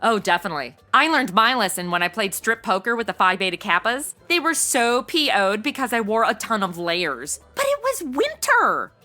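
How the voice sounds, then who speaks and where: A woman's voice: on the high side, big pitch swings, normal speed, hardly any pauses, sharp tone, soft background music. A woman playing a sassy, shallow young party girl sidekick in a comedy audio drama, sarcastic and blunt, with modern slang. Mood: flippant, sarcastic.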